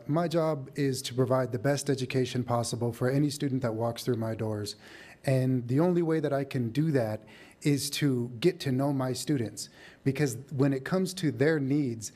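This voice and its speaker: A middle-aged man who is a teacher gives a measured public comment, with restarts and fillers, at a podium microphone in a large council chamber. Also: medium pitch, darkish tone.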